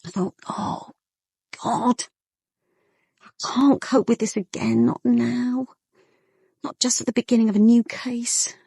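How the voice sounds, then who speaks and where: A woman's voice: on the high side, steady tone, takes it slowly, creaky, pauses often, dark tone, breathy edge, dry recording, clean studio sound, full character voice. An actress with an English accent playing a young police inspector who narrates her private life in an intimate, weary, guarded way for a radio drama. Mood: strained, resentful.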